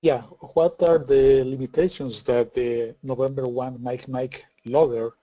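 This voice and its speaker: Guy asking a question on a webinar, super dark mellow voice, muffled dry call audio, polite. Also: medium pitch, fairly even intonation, unhurried, dry recording. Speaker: audience member asking a question. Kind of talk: polite spoken question in an online session.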